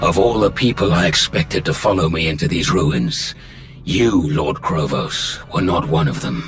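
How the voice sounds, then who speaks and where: An actor playing a menacing male Sith lord with a deep gravelly voice, speaking in a measured, ominous way over game music. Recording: game soundtrack under voice.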